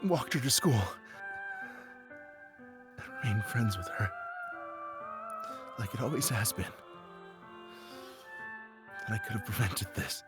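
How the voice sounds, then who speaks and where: A man playing an anguished teenage schoolboy who narrates a visual novel fan dub in a halting, emotionally raw way. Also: lowish voice, expressive, over loud music. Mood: regretful.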